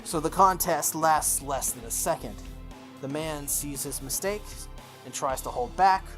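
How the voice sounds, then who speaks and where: A gaming host narrates a visual novel aloud in a medium-pitched voice. The reading gives way to enthusiastic, gushing commentary. Game music plays behind the voice. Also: unhurried. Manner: enthusiastic, gushing asides between read narration.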